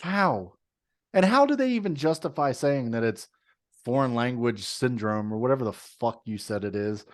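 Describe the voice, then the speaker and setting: A man co-hosting a casual podcast, going off on a loud, profane rant. He sounds incredulous and mocking, and his voice jumps higher when he gets worked up. His pitch sits in the middle for a man. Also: expressive, moderate pace. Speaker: podcast co-host. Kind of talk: casual chat.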